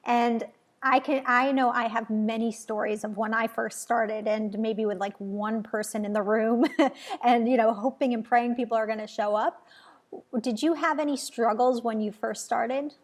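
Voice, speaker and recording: A woman's voice: on the high side, steady tone, normal speed. Upbeat woman hosting an interview. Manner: upbeat, enthusiastic. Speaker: show host, business coach. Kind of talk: interview host chat.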